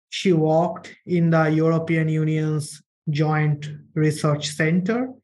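A man hosting a podcast, reading a measured, formal introduction of his guest. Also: on the high side, a little mellow, a little hiss or hum. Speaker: podcast host. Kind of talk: scripted introduction.

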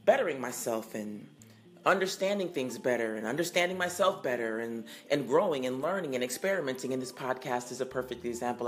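A woman in her forties hosting her own podcast, answering listener messages in an earnest, unhurried, affirming way with a low, husky morning voice. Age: 40s. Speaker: singer-songwriter hosting a call-in podcast.

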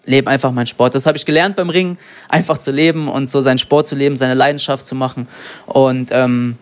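A young man from Germany, an MMA fighter and guest, answering in a relaxed, rambling way with lots of fillers. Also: medium pitch, fairly quick. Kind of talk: casual interview.

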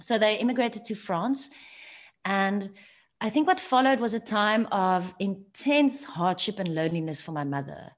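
A woman from South Africa whose first language is Afrikaans, a guest telling her family story in a soft-spoken, reflective way with some halting restarts. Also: mid-range voice. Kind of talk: personal storytelling.

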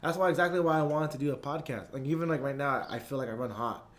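A man's voice: mid-range voice, fast. Young guy hosting a podcast, chill and slangy, lots of likes and you knows, regular middle voice. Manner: laid-back slangy talk, lots of "like" and "you know". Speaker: podcast host. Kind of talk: casual podcast chat.

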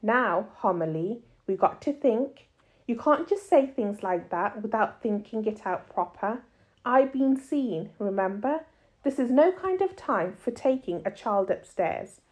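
A woman voicing a steady, cautious working-class father in a storybook, gentle and measured, with homely ungrammatical speech. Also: fairly high pitch. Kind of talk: storybook read-aloud character voice.

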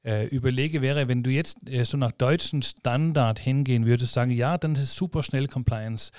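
A man's voice: medium pitch, moderate pace, a little mellow, clear, resonant tone, no room sound, clean studio sound. A man hosting a podcast, asking rambling questions full of restarts and fillers. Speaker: podcast host. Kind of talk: casual interview chat.

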